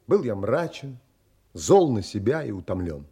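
An earnest, brooding romantic adventurer in an audio drama. His husky, mellow voice takes its time, pausing dramatically as he narrates and speaks. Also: lowish voice, very animated, exaggerated voice. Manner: dramatic, measured, with pauses. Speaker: actor and narrator.

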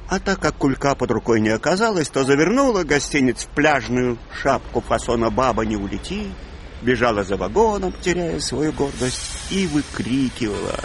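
A man reading scripted literary narration for a radio drama, measured and colloquial in his storytelling. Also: medium pitch, expressive, slight background noise. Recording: music bed behind the voice.

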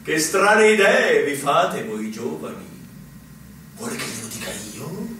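Pompous older general, high-pitched, echoey. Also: male voice, very animated, a little slow, pushed and bright, heavily acted. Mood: amused, pompous. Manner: pompous, theatrical, patronising. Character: condescending older male general, chuckling superior officer. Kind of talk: stage-style radio drama acting. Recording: echoey stage, distant mic, faint hiss.

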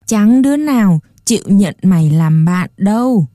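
A woman's voice: medium pitch, animated, moderate pace, dark tone, no room sound, studio quality, close to an everyday voice. A woman voicing a grumpy, scornful old dollmaker who scolds and shoos a child away. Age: older. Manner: gruff, scolding, dismissive.

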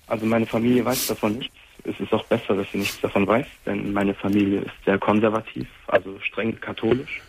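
A man's voice: on the low side, steady tone, brisk, vocal fry, a little hiss or hum, poor audio. A man in his twenties calling a radio show, speaking carefully and a bit nervously. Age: 20s. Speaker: caller. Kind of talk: phone-in conversation.

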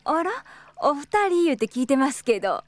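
High-voiced Kyoto inn proprietress. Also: female voice, big pitch swings, normal speed. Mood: courteous, welcoming. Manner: polite, soft traditional Kyoto phrasing. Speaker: innkeeper. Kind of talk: audio drama acting.

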